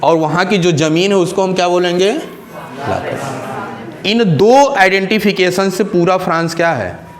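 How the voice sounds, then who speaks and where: A man's voice: high-pitched, a little slow. A male teacher giving a classroom lecture, asking questions and answering them himself and repeating key terms in English and Hindi.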